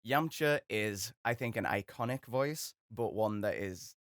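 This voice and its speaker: A man's voice: lowish voice, animated, unhurried, fairly bright tone, no echo, studio quality. A young man who voices characters as a hobby, talking in a hesitant, self-deprecating, chatty way. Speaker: hobby voice actor. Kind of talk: casual commentary.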